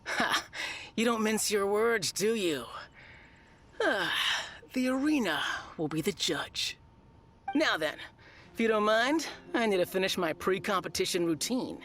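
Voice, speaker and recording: Smug, lively tournament contender. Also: female voice, medium pitch, unhurried, pauses often, slight background noise. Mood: boastful. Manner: boastful, pompous, breezily confident. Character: smug, self-satisfied high-achieving adult female tournament contender. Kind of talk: video game voice acting. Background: game music.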